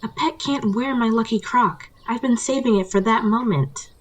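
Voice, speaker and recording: A woman playing an indignant, fed-up wife with a sharp, accusatory, defiant manner in an audio short story. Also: fairly high pitch, normal speed, a little hiss or hum, pitched above the performer's own voice, close to an everyday voice.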